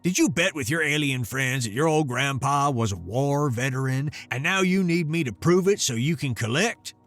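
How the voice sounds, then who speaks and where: A man voicing a gruff, sarcastic old grandfather and war veteran with a gravelly voice. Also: mid-range voice, lively intonation, normal speed, a bit bright, faint music behind. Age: older. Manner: sarcastic, scolding.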